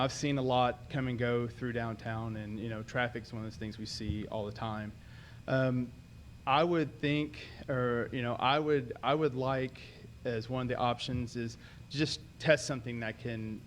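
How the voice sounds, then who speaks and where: A man with a loud voice, a local business co-owner, making a friendly, rambling public comment at a city meeting. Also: mid-range voice, level tone, moderate pace, slight background noise. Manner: friendly and talkative, rambling with fillers. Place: city commission chamber. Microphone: podium microphone.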